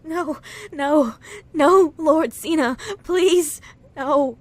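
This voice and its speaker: A woman playing a frightened, submissive young cult follower in an audio drama, halting, timid and deferential. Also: high voice. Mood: desperate pleading. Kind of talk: emotional audio drama acting.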